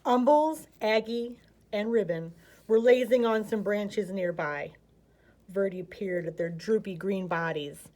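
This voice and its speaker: A woman's voice: mid-range voice, measured pace, darkish tone. An American woman narrating a children's picture book expressively as a storyteller.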